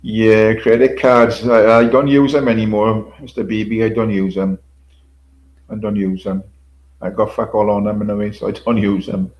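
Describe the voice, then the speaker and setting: A man's voice: fairly low pitch, level tone, normal speed, darkish tone. An older man hosting a casual livestream, rambling, repeating himself, swearing casually and chuckling to his viewers. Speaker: livestream host. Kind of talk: casual solo livestream chat.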